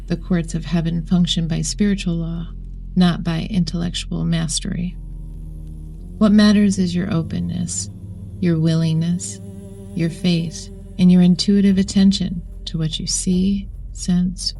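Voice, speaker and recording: A woman with a low, soft-edged mellow voice narrates a guided meditation. Her delivery is solemn and measured, with deliberate phrasing and a creaky grain. Music plays behind her. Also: little pitch movement. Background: background music.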